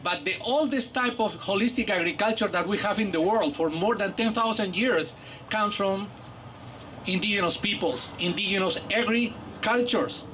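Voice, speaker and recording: High, bright-voiced man with a Peruvian accent. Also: normal speed. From Peru. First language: Aymara. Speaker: academic, guest speaker. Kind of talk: public rally speech. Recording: faint outdoor event noise.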